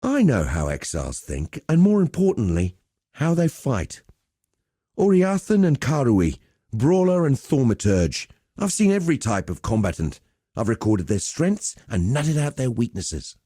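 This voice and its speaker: An actor playing a roguish, cheerful veteran pit fighter in a video game, talking in a colloquial, wry, swaggering storyteller's way. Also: male voice, medium pitch, huge pitch swings, a little slow, dark tone, slightly rough, dry recording, studio quality, heavily acted. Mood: earnest, admiring. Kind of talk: scripted game dialogue.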